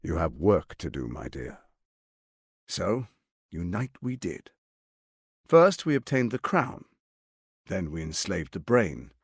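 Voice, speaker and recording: A man's voice: low-pitched, sing-song, takes it slowly, pauses often, breathy edge, no room sound, studio quality, heavily acted. A man playing a suave, scheming, arrogant tyrant lord in a video game, with a pompous, silky, theatrical delivery. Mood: smug, scornful.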